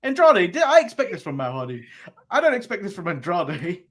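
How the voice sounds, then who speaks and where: A man's voice: sits high, wildly swooping pitch, fairly quick. A man co-hosting a casual wrestling recap show, laughing often as he runs through events with dry jokes. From England. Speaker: podcast co-host.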